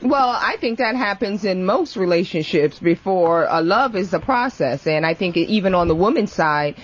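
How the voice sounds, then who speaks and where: A woman's voice: on the low side, animated, normal speed. A woman, a guest in an unscripted talk show discussion. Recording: dull lo-fi radio audio.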